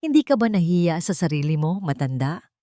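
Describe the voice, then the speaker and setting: A woman's voice: fairly low pitch, sing-song, brisk, dark tone, no room sound, clean studio sound. A woman storyteller voicing a haughty young rich bride-to-be who talks with sweetly venomous contempt in a dramatic tale. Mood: scornful.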